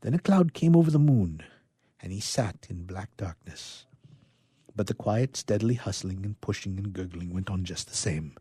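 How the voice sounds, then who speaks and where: A man narrating a story dramatically, with expressive, suspenseful delivery and phrases that drop to a hush. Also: sits low, moderate pace, slightly rough, no echo, studio quality. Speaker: storyteller, reader.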